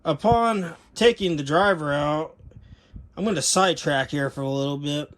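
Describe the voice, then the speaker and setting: An American man talks in a voice pitched a little higher than most men's. He sounds like a hobbyist vlogger walking viewers through gear without a script. His delivery is folksy and conversational, wandering from one point to the next. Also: normal speed. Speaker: vlogger showing vintage audio gear. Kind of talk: casual unscripted product walkthrough.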